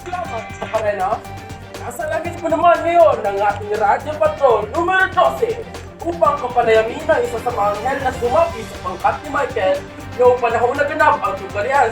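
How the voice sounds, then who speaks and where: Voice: medium pitch, animated, normal speed, natural voice. An actor playing a formal radio news anchor who hands over to field reporters in a radio play. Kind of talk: mock radio newscast. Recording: echoey, distant mic, music behind.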